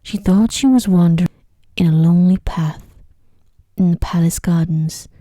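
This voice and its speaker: A woman narrating an ASMR sleep story in a hushed, gentle voice that drifts into whispers. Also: fairly low pitch, moderate pace, no room sound, studio quality. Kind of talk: soft-spoken ASMR bedtime story reading.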